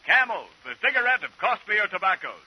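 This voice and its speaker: A man announcing on 1940s radio in a polished, emphatic sponsor-pitch style. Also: big pitch swings, a little fast, loud music behind the voice. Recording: faintly noisy old radio recording.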